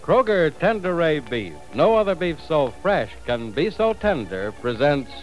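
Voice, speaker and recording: A man's voice: a little high, big pitch swings, measured pace, highs cut off, over music. A man announcing and narrating a vintage radio drama in a formal, dramatic manner.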